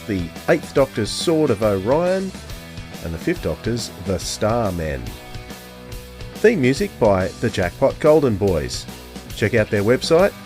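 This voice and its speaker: Lively male podcast announcer over guitar music. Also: medium pitch. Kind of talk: scripted outro narration.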